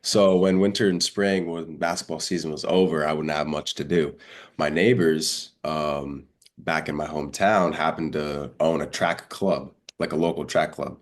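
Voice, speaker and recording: Very deep-voiced, congested young man. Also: fairly even intonation, moderate pace. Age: young adult. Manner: chatty, informal, slangy, acts out remembered dialogue. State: congested, under the weather. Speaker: guest, college high jumper. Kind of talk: casual interview storytelling.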